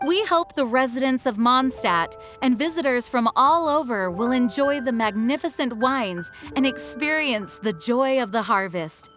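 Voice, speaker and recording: A woman's voice: high-pitched, fairly bright tone. A woman playing a poised, courteous official giving a formal ceremonial speech in a video game. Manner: formal, declamatory. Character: poised, courteous young woman official addressing festival crowd.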